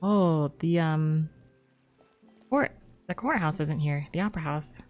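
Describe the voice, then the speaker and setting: A woman's voice: sits low, unhurried, a little mellow. A young woman giving casual live commentary as a game streamer, musing in an informal, rambling way. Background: faint game music.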